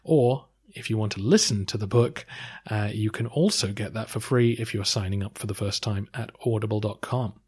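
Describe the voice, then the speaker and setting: A man hosting a history podcast, reading a measured introduction and then interviewing a guest. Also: lowish voice, a little mellow, husky. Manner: measured, occasional um and uh. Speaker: podcast host.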